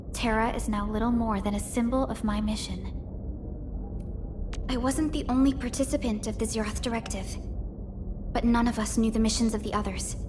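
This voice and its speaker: An actress playing a composed, earnest young supervisor of a sci-fi company in a video game, speaking formally and articulately in measured phrases. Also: female voice, a little high, background noise, lightly acted. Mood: reflective, earnest. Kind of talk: scripted video game character lines.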